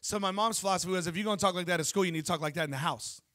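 A man in his thirties preaching a sermon on a church stage into a handheld mic, telling lively stories and asking the congregation questions. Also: high voice, very fast. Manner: animated storytelling preacher, jokes and asks the crowd questions. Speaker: pastor.

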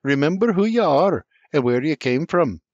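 A man voicing an emotional, fierce farm mother in a high put-on voice with dialect speech. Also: animated, moderate pace, darkish tone, no room sound, natural voice. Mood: tender. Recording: dull lo-fi dry recording.

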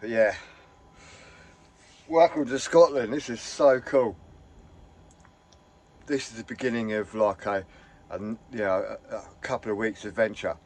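A man chatting casually to camera from inside his camper van, rambling and enthusiastic, his voice a little hoarse. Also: fairly low pitch, fairly even intonation, a little slow, pauses often, darkish tone. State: slightly hoarse. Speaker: vlogger. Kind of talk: casual vlog chat.

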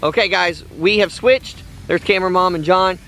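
A man's voice: high-pitched, normal speed, bright, forceful tone. An American man chatting casually to viewers in a folksy way, outdoors on a handheld camera. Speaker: vlog host, father fishing with family. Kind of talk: casual outdoor fishing vlog chat. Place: outdoors beside a park pond. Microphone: handheld phone camera a few feet away. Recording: outdoor ambient noise, handheld camera mic.

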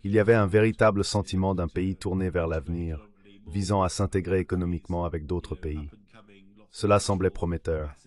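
A man's voice: low voice, steady tone, fairly quick, a little mellow. A man's synthetic voice-over reading an interview guest's translated answers in a scripted way.

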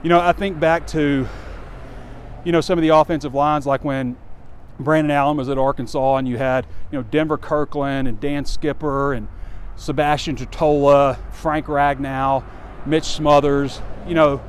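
Man in his forties, walk-and-talk phone recording, slightly high voice, faint street noise, candid and rambling. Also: level tone, normal speed. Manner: candid, conversational, rambling with fillers. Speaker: sports site publisher. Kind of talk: casual walking commentary. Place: outdoors on a campus street near a stadium. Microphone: phone camera held close while walking.

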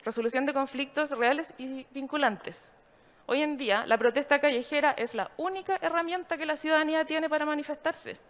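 A woman giving a formal, explanatory slide presentation in a measured way. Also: sits high, fairly bright tone.

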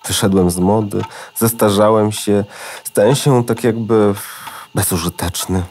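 A man's voice: fairly low pitch, fairly even intonation, a little slow, a little mellow, a little hiss or hum. A man voicing an old, forgotten floppy disk in a children's audio drama, courteous, old-fashioned and melodramatic. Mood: resigned, courteous.

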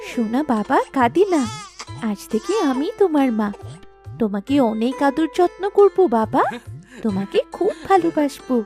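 A woman voicing a gentle, loving palace lady in a fairy-tale cartoon, with tender, emotive delivery. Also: high voice, expressive, normal speed, over music. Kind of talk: dramatic cartoon dubbing.

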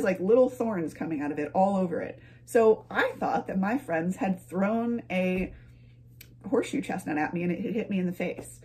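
A woman's voice: on the low side, a little mellow. A young adult woman telling a personal story to camera in a casual, chatty and playful way, often wandering into asides. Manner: chatty, playful, frequent digressions and chuckles. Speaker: vlogger and storyteller. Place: medium-sized home room, indoors.

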